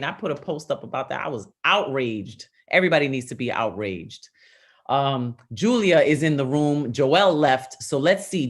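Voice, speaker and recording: Upbeat woman hosting an open mic. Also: low voice. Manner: upbeat, encouraging, chatty. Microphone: video call.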